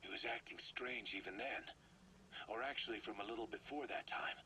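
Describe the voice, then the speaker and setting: An actor playing a shrewd, wary military officer in a video game, speaking in a measured, analytical, wry way. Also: male voice, medium pitch, pushed and bright, a little hiss or hum, rough recording. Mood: puzzled, suspicious. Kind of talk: scripted game dialogue, briefing on a cassette tape.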